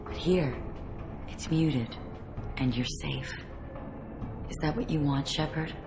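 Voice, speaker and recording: An actress playing a seductive, predatory alien killer in a video game, with slow, sultry, intense phrasing. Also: female voice, deep voice, over music. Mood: menacing, sultry.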